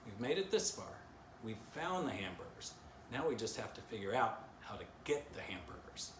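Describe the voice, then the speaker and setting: A man's voice: fairly low pitch, big pitch swings, moderate pace. Man playing a sensible male dog leader, composed, firm, soothing, storybook, roomy noisy recording. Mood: firm, calm. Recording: roomy echo, clear background noise.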